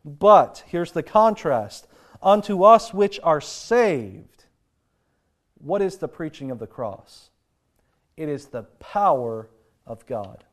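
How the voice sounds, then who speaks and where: A man preaching a sermon, sounding congested and nasal from a sinus infection, slowing to stress key phrases. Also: on the high side, expressive.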